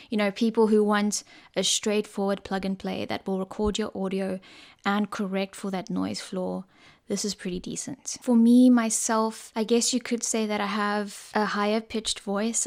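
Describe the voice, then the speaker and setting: A woman's voice: mid-range voice, creaky. A woman casually reviewing desk microphones from a small home room, chatty and a little scatterbrained, still recovering from being ill, talking close to a condenser mic. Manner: chatty, self-correcting, a bit scatterbrained. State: recovering from illness. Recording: mic tests with varying reverb and noise.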